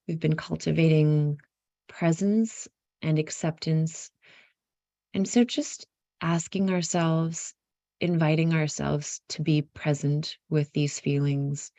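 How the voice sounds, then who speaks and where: A woman leading a guided meditation, giving gentle instructions in an unhurried, softly inviting way with pauses mid-phrase. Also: low-pitched, no echo.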